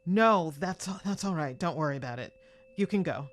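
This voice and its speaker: A woman's voice: sits low, animated, moderate pace, slight background noise. A woman acting in an audio drama as an ailing, pill-dependent mother, impatient and evasive, with a coaxing way of talking.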